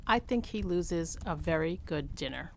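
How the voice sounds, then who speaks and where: A woman's voice: on the low side, expressive, moderate pace, vocal fry, a little hiss or hum. Woman acting a spirited young wife. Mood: disapproving. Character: nephew's young wife, disapproving yet playful. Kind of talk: audio drama acting.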